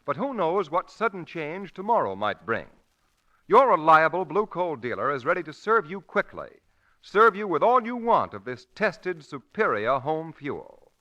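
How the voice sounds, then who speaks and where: A man's voice: fairly high pitch, sing-song, moderate pace, pushed and bright, highs cut off. A man announcing from a script in an emphatic, declamatory 1940s radio style. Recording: vintage 1940s radio broadcast.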